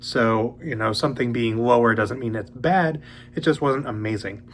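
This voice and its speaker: A young man hosting a casual book channel, talking in a relaxed, rambling way with many ums, a little sniffly as he gets over a cold. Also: mid-range voice, moderate pace. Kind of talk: casual monthly reading update.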